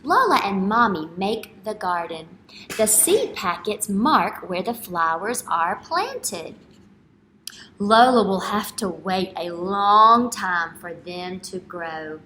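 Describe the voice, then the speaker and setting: A woman in her thirties speaking as an early-grade teacher, reading a picture book aloud in an expressive, instructional way and checking understanding with questions. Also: medium pitch, slow pace, slight background noise. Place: indoor school classroom.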